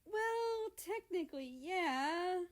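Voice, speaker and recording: A woman voicing an excitable, bashful young man in love in a story reading. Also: very high-pitched, drawn-out words, pitched above the performer's own voice, exaggerated voice. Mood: bashful, giddy.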